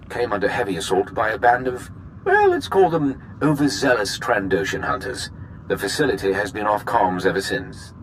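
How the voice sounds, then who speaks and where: An actor playing a smug, slick sci-fi corporate executive in a video game, with a pompous, glib, teasing manner. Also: male voice, on the low side, a bit bright, slight background noise, low-quality recording. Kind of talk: video game cutscene acting.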